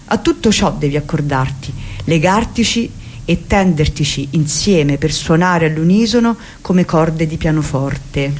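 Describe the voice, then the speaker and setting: A woman's voice: deep voice, expressive, measured pace, darkish tone. Impassioned woman reading aloud. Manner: impassioned, declamatory. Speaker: reader. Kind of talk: theatrical reading of a book on radio. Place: radio studio.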